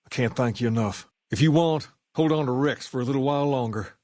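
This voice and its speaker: An actor playing a suave, folksy gang leader in a video game, with a drawl and a cool swagger. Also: male voice, mid-range voice, brisk, a little mellow, dry recording, clean studio sound, lightly acted. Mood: grateful. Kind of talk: video game dialogue acting.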